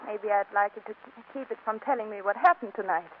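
An actress playing a hurt, proud wife with a pointed dramatic manner in a 1940s radio comedy, on a tinny recording. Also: female voice, mid-range voice, fast, a little hiss or hum, lightly acted. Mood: wounded. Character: hurt, proud young wife doubting her husband.

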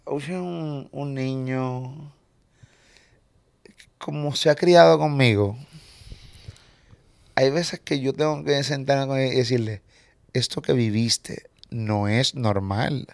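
A man from Puerto Rico in his forties with a Puerto Rican accent, a comedian chatting casually in an interview and telling stories quickly, with some swearing. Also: mid-range voice, vocal fry, no echo. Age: 40s. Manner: animated, swearing, quick storytelling. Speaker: comedian guest.

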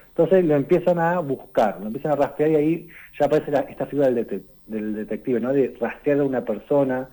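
A man, giving a conversational radio literature column and explaining things unhurriedly, often pausing on "eh" and tagging his sentences with "¿no?". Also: medium pitch, mellow, rough recording. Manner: unhurried and explanatory, tags lines with "¿no?" and "eh".

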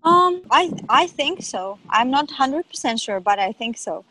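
A woman's voice: high voice, expressive, measured pace, bright, forceful tone, slight background noise, low-quality recording. A young woman doctor, not a native English speaker, answering interview questions in a thoughtful, hesitant way with many "like" fillers.

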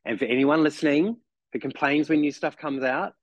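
A man's voice: fairly high pitch, steady tone, fairly quick, no room sound, lo-fi sound. A man in his forties with a New Zealand accent, chatting as a podcast guest and rambling with lots of "you know" and restarts. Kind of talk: casual chat.

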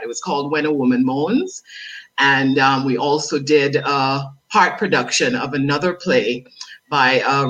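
A woman actress talking as an interview guest in a lively way, often laughing between phrases. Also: a bass voice, low-quality recording. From Dominica by heritage, raised elsewhere. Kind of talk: casual interview chat.

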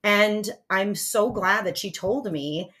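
A woman in her forties with a raspy voice, co-hosting a podcast in spunky, excitable casual chat. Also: medium pitch, slow. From United States. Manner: spunky, excitable, lots of fillers. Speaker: podcast co-host.

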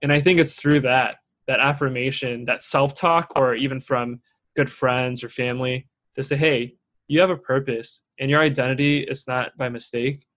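A man who grew up in Singapore and Shanghai, hosting a relaxed conversation. He talks earnestly and warmly encourages his listeners, picking up speed when he gets animated. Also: mid-range voice, normal speed. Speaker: podcast host. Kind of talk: casual interview chat.

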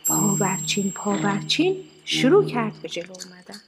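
A woman's voice: mid-range voice, very animated, background noise, lightly acted. A woman reading scripted narration for a children's radio play in a storytelling style.

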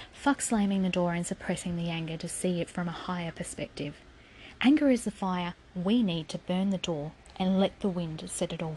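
A woman's voice: on the low side, a little mellow, a little hiss or hum, rough recording. A woman giving a raw, defiant personal spoken essay, swearing freely and sometimes dropping to a whisper.

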